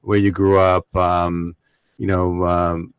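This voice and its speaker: A man's voice: deep voice, completely flat delivery, takes it slowly, clean tone, no room sound. A man hosting an interview, asking questions in a hesitant, rambling way with many "you know"s. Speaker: interview host. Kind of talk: casual interview chat.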